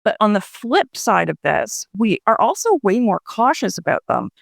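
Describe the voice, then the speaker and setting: A woman's voice: medium pitch, big pitch swings, normal speed, creaky, no echo, studio quality. A woman psychology researcher explaining her findings in a radio interview, with frequent hedging fillers.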